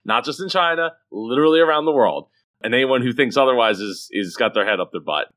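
A man hosts a casual business talk show with a very animated voice that rises and falls widely. He speaks in an exclamatory way, doubling up short phrases for emphasis. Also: mid-range voice, quick pace. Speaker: podcast host.

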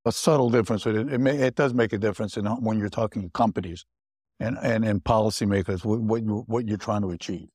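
A man's voice: on the low side, fast, a little mellow, breathy edge, no echo, studio quality. A man speaking as an economist on a panel, explaining points and often restarting his phrases. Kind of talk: panel discussion.

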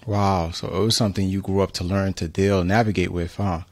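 A man's voice: low voice, fairly even intonation, brisk, a little mellow, dry recording. A man from New York City hosting an interview, asking earnest and reflective questions. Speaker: interview host. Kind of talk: casual conversational interview.

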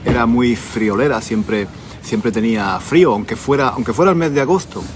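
A man with an accent from Spain telling stories conversationally as a language podcast host, deliberately repeating phrases for emphasis. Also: mid-range voice, a little hiss or hum. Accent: Spanish, from Spain. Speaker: podcast host, Spanish teacher. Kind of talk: conversational storytelling for language learners.

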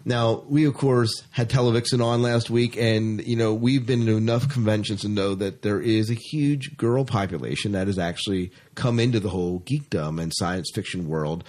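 A man's voice: fairly low pitch, normal speed, few pauses, darkish tone. A man hosting a podcast, talking casually and chattily about sci-fi news with an enthusiastic, informal manner. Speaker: podcast host.

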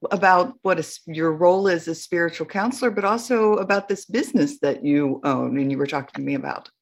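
A woman's voice: lowish voice, a little fast. A woman hosting an interview in a conversational style, inviting her guest to talk. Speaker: host, interviewer.

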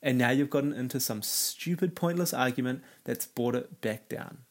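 Raspy, stuffy-nosed man with a gravelly voice. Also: medium pitch, moderate pace. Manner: earnest, motivational, conversational. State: has a cold, raspy and nasal. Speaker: podcast host. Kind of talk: solo self-help podcast talk.

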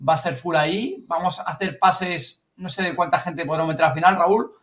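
A man's voice: a little high, brisk, a bit bright. A man with a Spanish accent hosting a podcast in casual, chatty, informal talk. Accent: Spain. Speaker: podcast host.

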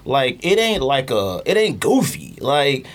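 A man's voice: medium pitch, very animated, a little slow. A man chatting casually as a podcast host, emphatic and opinionated, with slangy phrasing and repeats for emphasis. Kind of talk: casual podcast banter about movies.

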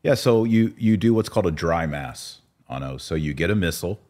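A man's voice: sits low, expressive, normal speed, darkish tone. An American man hosting a podcast in casual, informal chat, reading out and answering listener comments. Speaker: podcast host.

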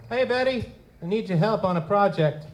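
A man's voice: high voice, lively intonation, normal speed. A man playing a wry, streetwise goblin-like private investigator in a noir audio drama, speaking in casual slang. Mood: brisk, businesslike. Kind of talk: live audio drama dialogue and first-person narration. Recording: noticeable background noise.

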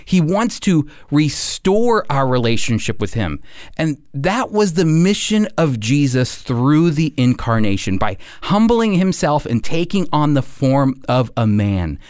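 A man giving a scripted sermon as a preacher, phrasing deliberately and emphatically and putting questions to his listeners. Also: a little high, very animated, a little mellow, no room sound, studio quality.

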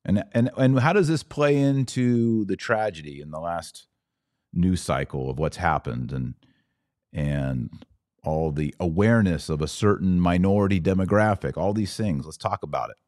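A man's voice: sits low, lively intonation, moderate pace, darkish tone, no echo, studio quality. A man with an American accent hosting a live commentary podcast, conversationally reading out viewer comments, posing questions and thinking aloud. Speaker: podcast host.

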